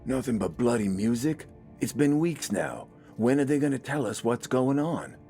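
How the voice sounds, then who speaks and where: A man's voice: mid-range voice, animated, moderate pace, warm tone, soft background music, pitched above the performer's own voice, close to an everyday voice. Man putting on a growling, irritable older militiaman. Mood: exasperated. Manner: grumbling, swearing. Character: gruff older balding village militiaman, irritable. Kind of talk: audio story character voice.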